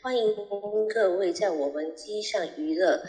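A woman playing a flight attendant making polite, slightly halting cabin service announcements in an audio drama. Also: lowish voice, a little mellow, lightly acted. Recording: roomy, echoey hall sound.

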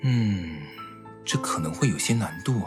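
An actor playing a composed, considerate young man in an audio drama, measured and polite. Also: deep voice, lively intonation, faint music behind. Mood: calm, thoughtful. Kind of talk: Mandarin audio drama acting.

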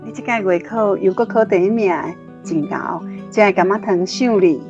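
A woman's voice: medium pitch, fairly even intonation, moderate pace, lo-fi sound, music in the background, lightly acted. A woman playing a kindly, encouraging schoolteacher who speaks approvingly in a children's radio drama. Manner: approving, instructing. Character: kindly encouraging schoolteacher rewarding a top pupil.

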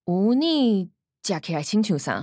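A woman's voice: medium pitch, very animated, unhurried, dark tone, no room sound, studio quality. A woman playing a blunt, shrewd grown daughter in a radio drama, curt and questioning with a Taiwanese accent. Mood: probing.

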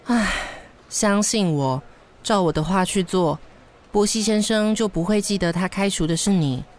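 A world-weary female secretary in a radio drama, played by a woman, speaking at middle pitch. She is brisk and bossy, with dry sarcasm and little patience. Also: a little mellow, lightly acted. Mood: brisk, matter-of-fact. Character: brisk, exasperated, sardonic female office secretary.

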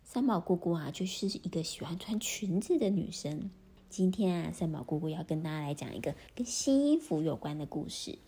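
Breathy woman telling kids a story. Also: on the low side. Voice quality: breathy, often half-whispered. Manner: gentle, animated, addresses children. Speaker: storyteller for children. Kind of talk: children's story narration.

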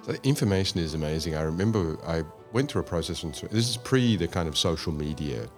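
A man's voice: deep voice, animated, a little fast, a little mellow, faint music behind. A man from Australia hosting an interview, chatty and telling stories as he asks questions. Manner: chatty, storytelling. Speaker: interview host. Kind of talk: conversational interview.

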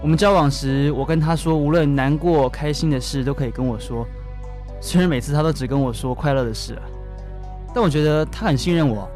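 A man's voice: fairly high pitch, fairly quick, a little mellow, soft background music, lightly acted. A man acting as a resigned, wounded bartender in a modern urban radio drama. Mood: regretful. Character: resigned, wounded male bartender about to close up. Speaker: actor.

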